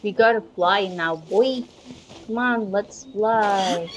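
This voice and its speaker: A woman hosting a gaming channel, chatting casually and excitably to viewers and sometimes to herself. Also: medium pitch, slow pace, a little hiss or hum. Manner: informal, excitable, talks to herself. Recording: dull lo-fi mic over game music. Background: game music.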